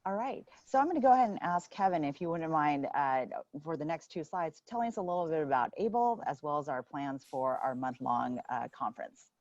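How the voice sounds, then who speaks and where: A woman with a low, deep voice hosts a webinar over a video call. She speaks in a friendly manner with many fillers. The call audio is dull and lo-fi, and the sound is dry. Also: animated, brisk, hardly any pauses, a little mellow, dry recording. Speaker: webinar host, board member. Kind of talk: hosting a webinar.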